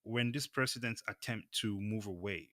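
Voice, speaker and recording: A man's voice: lowish voice, normal speed, bright, forceful tone, no echo, studio quality. A man from Cameroon co-hosting a show, talking casually and emphatically, often checking in as he makes his point. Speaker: co-host of a crypto show. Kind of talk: casual opinionated discussion.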